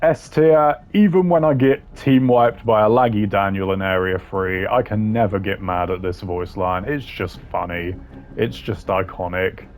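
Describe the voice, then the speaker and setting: A man's voice: fairly low pitch, measured pace, a little mellow, slight background noise. A man giving casual, slangy tier-list commentary in a blunt, opinionated way. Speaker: commentator ranking game voice lines.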